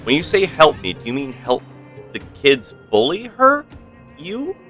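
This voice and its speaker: A man doing casual live gameplay commentary as a streamer, thinking aloud in a halting way and swearing now and then. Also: fairly high pitch, huge pitch swings, pushed and bright, soft background music. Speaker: game streamer.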